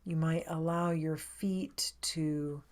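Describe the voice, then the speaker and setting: A woman leading a guided meditation as a coach, with a soothing, unhurried and inviting manner of gentle instruction. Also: deep voice, fairly even intonation.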